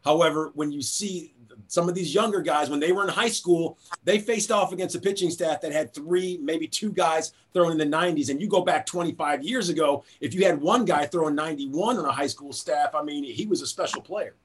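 A man with a slightly raised pitch hosts a sports podcast. He offers his commentary conversationally, as part of a chat. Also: fairly quick, breathy edge. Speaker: podcast host.

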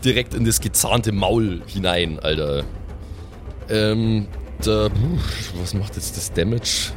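A man with a very low voice plays in an improvised tabletop game. He talks casually and slangily, with English game terms mixed in, while music plays clearly behind him. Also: slow pace. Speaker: player in a tabletop podcast.

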